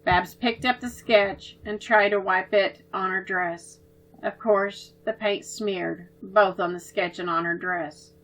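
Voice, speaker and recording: Bright-voiced woman telling a children's story. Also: medium pitch, slow, soft background music, poor audio. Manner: expressive, gentle reading.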